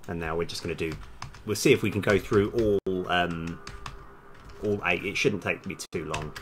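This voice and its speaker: A man's voice: low voice, normal speed, a bit bright, slight background noise. A man live-streaming as a game developer, casually thinking aloud and muttering bits of code to himself in fragments. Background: background music, computer keyboard typing.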